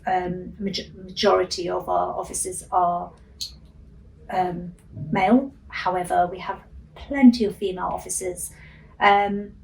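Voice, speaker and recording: A woman's voice: mid-range voice, vocal fry. A middle-aged woman police officer whose first language is Urdu, talking earnestly in a radio interview with many ums. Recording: distant mic with faint noise.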